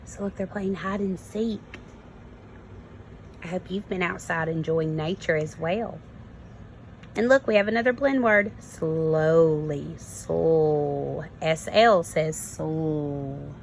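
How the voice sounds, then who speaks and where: Slow woman reading to kindergarteners, creaky. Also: deep voice, slight background noise. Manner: simple explanations addressed to young children. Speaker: kindergarten teacher. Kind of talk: storybook read-aloud with teaching asides.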